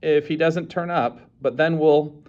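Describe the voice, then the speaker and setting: A man's voice: fairly high pitch, fairly even intonation, a little slow, voice pushed up, close to an everyday voice. A man voicing an impatient man who takes an urgent call and speaks in clipped phrases. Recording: dull lo-fi recording.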